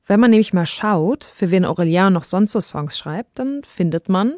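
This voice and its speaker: A woman's voice: mid-range voice, expressive, normal speed, a little mellow, dry recording, studio quality. A woman hosting and reporting in a conversational investigative podcast narration style. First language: German.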